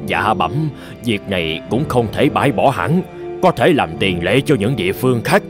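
A man voicing a cringing, evasive official in an animated folk tale, hesitant and obsequious, with music behind. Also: lowish voice, moderate pace. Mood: nervous, servile. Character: cringing, evasive male official bowing to his ruler.

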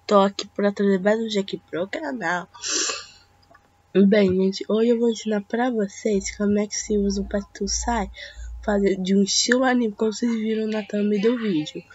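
A teenage girl from Brazil with a Brazilian Portuguese accent, hoarse from a cold, chatting hesitantly through a drawing tutorial at home. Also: medium pitch, fairly even intonation, moderate pace, darkish tone. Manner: chatty, hesitant, talks to viewers as "gente". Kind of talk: casual screen-recorded tutorial. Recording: dull lo-fi mic with faint hiss.

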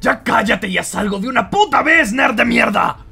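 Woman voicing a raspy, explosive teenage anime boy. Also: fairly high pitch, expressive, normal speed, sharp tone, soft background music, exaggerated voice. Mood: exasperated, angry. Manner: aggressive, insulting. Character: explosive, foul-mouthed, competitive teenage boy. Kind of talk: fandub comic acting.

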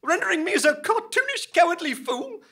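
Shrill comic caricature, falsetto, bright, indignant stage delivery. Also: male voice, big pitch swings, brisk, exaggerated voice. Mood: shrill indignation. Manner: shrill, theatrical. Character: squeaky, indignant caricature reading a journalist's notes. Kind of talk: live comedy sketch.

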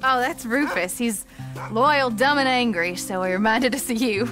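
An actress playing a blunt but loving frontier wife with a rural American accent in a video game cutscene. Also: female voice, a little high, moderate pace, over music, close to an everyday voice. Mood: dry, tender.

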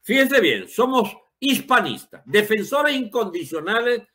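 Male political commentator, seventies. Emphatic, opinionated off-the-cuff monologue, bright and sharp with big pitch swings. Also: very animated, a little slow. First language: Spanish. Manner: emphatic, rhetorical questions, colourful wording.

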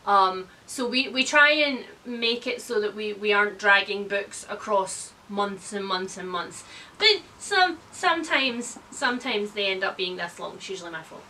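Bright, blunt woman chatting about books. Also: medium pitch, expressive, moderate pace, a little hiss or hum, rough recording. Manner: blunt, opinionated, wry, mildly sweary. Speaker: book reviewer, video host. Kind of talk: casual chatty book discussion. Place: indoors, room lined with bookshelves.

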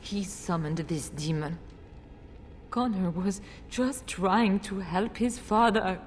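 A woman's voice: mid-range voice, slow pace, a little mellow, lightly acted. An actress playing a frightened noblewoman in a fantasy game, a mother who speaks hesitantly and pleadingly. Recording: reverberant stone hall, faint noise.

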